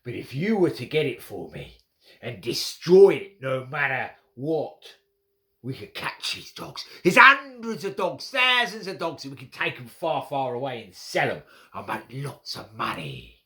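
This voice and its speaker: Man playing a gruff scheming old dog catcher. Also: medium pitch, big pitch swings, measured pace, sharp tone. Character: rough tough old male dog catcher.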